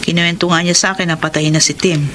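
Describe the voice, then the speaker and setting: A woman's voice: lowish voice, little pitch movement, fast, noisy background, close to an everyday voice. A Filipino woman acting in a radio drama as a devoted, hopeful wife, speaking earnestly and tenderly. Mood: caring, affectionate. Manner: earnest, tender, sentimental delivery. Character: devoted, hopeful wife living with HIV, caring for her husband. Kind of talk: Filipino radio drama acting and first-person letter narration. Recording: radio drama with music underneath.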